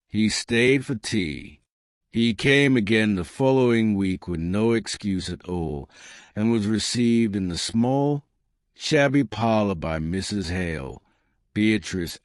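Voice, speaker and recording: A man narrating a historical romance story with measured, formal literary phrasing. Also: fairly low pitch, no room sound, clean studio sound. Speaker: audiobook narrator.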